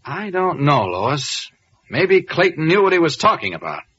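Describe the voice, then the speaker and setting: An actor playing a thoughtful, earnest American man reporter in a 1940s radio drama, reasoning aloud in a deliberate, halting way. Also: on the high side, very animated, a bit bright, slightly rough, exaggerated voice. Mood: intent, wondering. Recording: muffled old radio broadcast recording.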